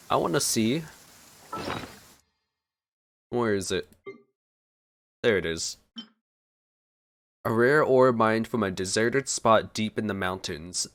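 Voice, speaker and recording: A man's voice: fairly low pitch, fairly bright tone. A man giving casual let's play commentary as a streamer, sometimes reading game text aloud. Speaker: gaming streamer.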